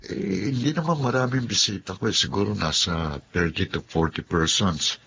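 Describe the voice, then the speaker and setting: A man's voice: low voice, moderate pace, gravelly, pushed and bright, exaggerated voice. A man voicing a playful, teasing young man hosting his birthday party in a radio drama. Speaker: Andrew, party host. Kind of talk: Tagalog radio drama acting. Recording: dull lo-fi audio with faint hiss.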